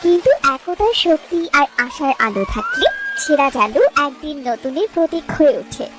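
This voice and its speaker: A woman narrator tells a cartoon story in a high voice. Her pitch swings very widely, and music plays behind her scripted lines. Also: huge pitch swings, normal speed.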